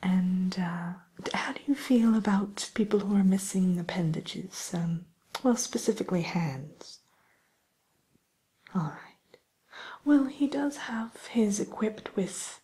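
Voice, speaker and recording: A woman softly whispering an ASMR roleplay as a polite, hesitant matchmaker who soothes and defers to her client. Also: medium pitch, takes it slowly, heavily acted. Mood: hushed, tactful. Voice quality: breathy, mostly whispered. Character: polite, accommodating female matchmaker at a dating service for villains.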